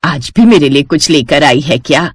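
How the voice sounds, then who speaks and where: A woman's voice: deep voice, animated, moderate pace, breathy edge, no echo, clean studio sound, pitched below the performer's own voice. A woman voicing an older mother-in-law in a family story, doting and indulgent with a fawning manner.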